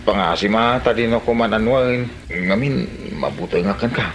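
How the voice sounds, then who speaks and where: A man with an Ilocano Filipino accent playing a boastful, excitable villager who stammers and backs down in a radio comedy drama. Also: lowish voice, moderate pace, slight background noise, lightly acted. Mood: nervous stammering.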